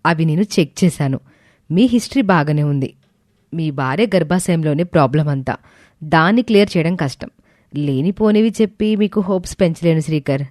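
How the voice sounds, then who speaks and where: A woman as firm female doctor, low-pitched, matter-of-fact, blunt, story dialogue, dry close recording. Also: dark tone, dry recording, lightly acted. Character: blunt female gynecologist delivering verdicts.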